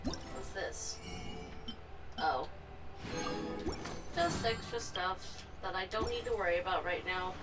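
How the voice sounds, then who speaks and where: A woman's voice: fairly low pitch, takes it slowly, pauses often, recorded from a distance. A woman streamer making dry, playful asides while reacting to a video game. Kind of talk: casual reaction commentary. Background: game music.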